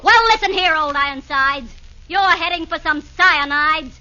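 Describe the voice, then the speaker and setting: A scheming, haughty poisoner spy, shrill and indignant in a 1940s radio comedy. The voice is very high and piercingly bright. The old broadcast sound is dull and muffled. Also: female voice, a little slow, exaggerated voice.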